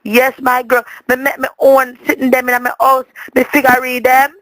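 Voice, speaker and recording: Jamaican woman, expressive rambling comic storyteller, dramatic patois delivery, close dry sound. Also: sits high, moderate pace, no room sound, low-quality recording. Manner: dramatic, chatty, repeats words for emphasis.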